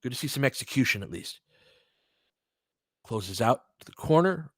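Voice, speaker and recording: A man's voice: mid-range voice, very animated, moderate pace, fairly bright tone, dry recording, studio quality. A man doing informal live basketball play-by-play, mixing short clipped calls with conversational coaching analysis and fan-like asides.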